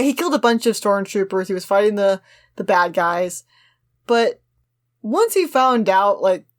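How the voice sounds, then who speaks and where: A woman with an average-pitched voice talks casually as a podcast co-host. She is opinionated and argues her points, moving between reading a script aloud and giving her own commentary. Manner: opinionated, argumentative.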